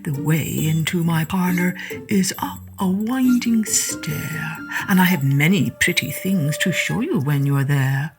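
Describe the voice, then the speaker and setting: A woman voicing a cunning male spider in a storybook reading, coaxing with drawn-out, breathy flattery. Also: low-pitched, over music. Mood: coaxing, sly.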